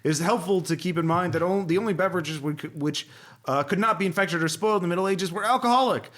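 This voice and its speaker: A man's voice: high-pitched, fast talker, a bit bright. A man hosting a podcast, chatting casually and reading passages aloud with a wry, joking manner. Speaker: podcast host.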